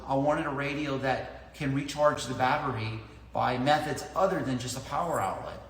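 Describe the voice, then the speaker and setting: A man in his thirties explaining a product methodically to camera, as a reviewer does. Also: mid-range voice. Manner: methodical, explaining points in order. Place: large indoor lounge with tiled floor. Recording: echoey hard-walled room, clear background noise.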